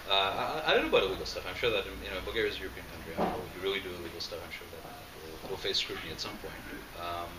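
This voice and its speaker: Fast-talking deep-voiced man, echoey room. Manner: fast, animated, many restarts and fillers. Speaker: interview guest, entrepreneur. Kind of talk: casual interview conversation. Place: large roomy event space. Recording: echoey room, distant mic, background noise.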